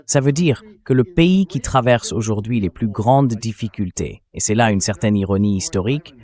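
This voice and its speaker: Man, AI voice-over, lowish pitch, political commentator, conversational, explanatory, dubbed interview. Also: expressive, moderate pace, a little mellow. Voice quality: synthetic AI voice-over.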